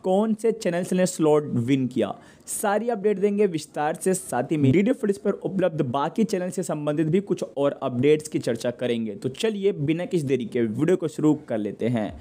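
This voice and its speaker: A man's voice: fairly high pitch, expressive, normal speed, mellow. A man hosting a news update, narrating informally and speaking directly to viewers in a conversational way. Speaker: host of a TV channel news update show.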